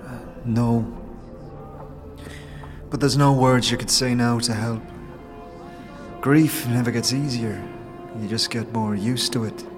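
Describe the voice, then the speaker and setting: A man's voice: mid-range voice, fairly even intonation, slow pace, pauses often, in a large hall, natural voice. A man playing a crotchety, grieving old woman in an audio drama, rambling and scolding in rustic speech. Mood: weary, sorrowful. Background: music.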